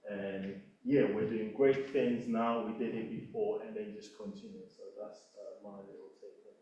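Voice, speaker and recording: A man's voice: lowish voice, fairly even intonation, moderate pace, lo-fi sound, background noise. A man from Ghana, speaking off the cuff at a community event, earnest and thinking aloud. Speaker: panel speaker. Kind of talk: unscripted reflective talk at an event. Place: event hall. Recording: echoey hall, speaker far from the mic.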